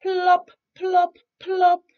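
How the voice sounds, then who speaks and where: A woman's voice: very high voice, completely flat delivery, extremely slow, lo-fi sound, pitched above the performer's own voice, exaggerated voice. A woman voicing a curious, jumpy young male bear cub in a children's storybook, with a wondering, childlike manner. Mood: insistent, alarmed.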